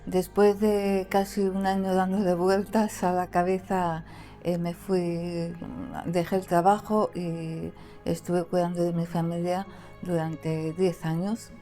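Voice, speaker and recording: A woman guest who cannot pronounce the letter R, telling her story plainly with many pauses and fillers. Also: on the low side, flat delivery, warm tone, faint music behind. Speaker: interview guest. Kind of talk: conversational storytelling.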